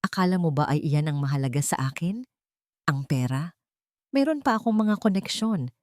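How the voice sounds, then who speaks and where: A bitter, once-powerful older woman who still speaks down to everyone, played by a female storyteller. The voice sits fairly low and sounds dry and close, with no room around it. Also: animated, moderate pace, darkish tone, dry recording, close to an everyday voice. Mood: scornful. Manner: haughty, defiant. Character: proud fallen rich older woman, bitter.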